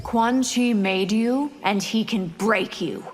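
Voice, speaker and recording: A woman's voice: a little high, slow pace, loud music behind the voice. An actress voicing a haughty, domineering female vampire warrior in a fighting game, imperious and taunting. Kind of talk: fighting game character dialogue. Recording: echoing hall, loud game noise behind.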